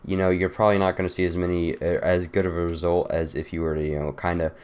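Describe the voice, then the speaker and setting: A man's voice: deep voice, little pitch movement, quick pace, a little mellow, clear, resonant tone. A young man with a congested, nasal voice from a cold, casually walking through a game build with loose, rambling talk full of fillers. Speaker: gaming YouTuber. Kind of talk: casual game guide walkthrough.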